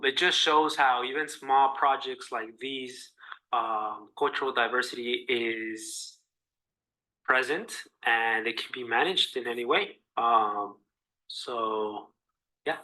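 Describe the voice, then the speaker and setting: Bright-voiced young Mexican man presenting. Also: medium pitch, poor audio. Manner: earnest, halting delivery. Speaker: student presenter. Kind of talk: class presentation.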